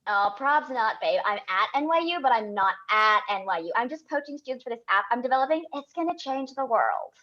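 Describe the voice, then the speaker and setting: Actress as breezy, affected young scenester, high and slangy, comic read, muffled lo-fi recording. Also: female voice, expressive, brisk, few pauses, sharp tone. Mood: breezy, gushing. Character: breezy, spacey, affected young party woman. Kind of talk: screenplay table read, comic dialogue. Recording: dull, lo-fi microphone sound.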